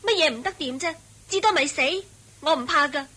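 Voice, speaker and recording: Young woman in trouble, high bright voice, scared but stubborn. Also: expressive, fairly quick. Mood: defiant. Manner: high, fearful yet defiant. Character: defiant young woman in distress. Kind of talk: radio play acting.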